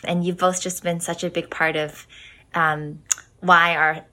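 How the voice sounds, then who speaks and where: Woman podcast host, lowish voice, casual and chatty, lots of ums, interview. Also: little pitch movement, measured pace. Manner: warm scripted intro, then chatty with fillers.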